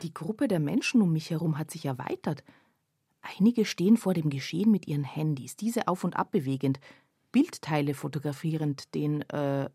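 A woman playing a pensive first-person narrator in a literary radio play, speaking in a measured, reflective way. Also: sits low, expressive, warm tone, husky, dry recording, clean studio sound, exaggerated voice. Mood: observing, wry.

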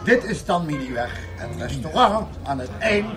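A man's voice: on the high side, sing-song, normal speed. A man playing a polite, unflappable head waiter in a radio comedy, formal, deferential and faintly condescending. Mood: politely patient. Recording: loud music and crowd behind.

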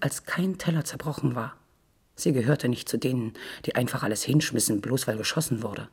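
Low-key husky woman reading literature. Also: darkish tone. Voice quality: husky, breathy. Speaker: narrator in a radio literary feature.

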